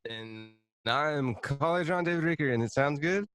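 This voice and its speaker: A man's voice: fairly high pitch, moderate pace, a bit bright, clean tone. A man co-hosting a podcast in casual comedic banter, joking and swearing freely. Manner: joking, profane. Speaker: podcast co-host.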